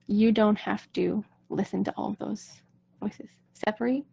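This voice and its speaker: A woman's voice: mid-range voice, steady tone, measured pace, vocal fry, dark tone, husky, no echo. A woman vocal coach speaking casually and reassuringly to viewers in a live-streamed coaching chat.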